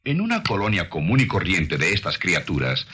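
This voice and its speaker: A man's voice: sits low, wildly swooping pitch, normal speed, background noise. A man delivering scripted nature documentary narration in a didactic manner, addressing the listener as a teacher would. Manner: didactic, rhetorical questions to the listener.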